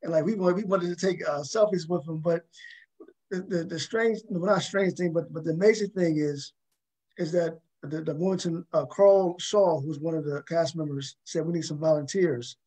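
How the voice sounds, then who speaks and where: Halting man with a higher-pitched voice. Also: warm tone. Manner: halting, repeats words. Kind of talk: casual anecdotal conversation.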